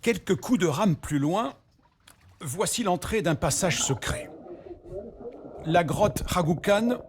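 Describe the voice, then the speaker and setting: A man's voice: sits high, animated, takes it slowly, background noise. A man narrating a scripted travel report as a television news reporter. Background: background music.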